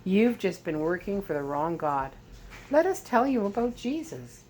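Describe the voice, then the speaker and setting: Woman reading as brisk, confident young man Jay. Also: mid-range voice, very animated, a little mellow, slight background noise, close to an everyday voice. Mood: reassuring.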